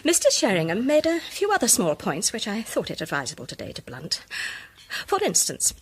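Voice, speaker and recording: An actress playing a confident, briskly superior amateur detective with a British accent, speaking in articulate, assured radio drama style. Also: female voice, a little high, huge pitch swings, husky, full character voice. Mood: amused, superior. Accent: British English. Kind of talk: radio drama acting, polished monologue.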